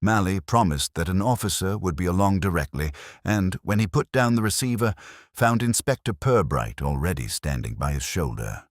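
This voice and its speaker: A man narrating a literary mystery story in a measured, formal way. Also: sits low, lively intonation, no room sound, studio quality.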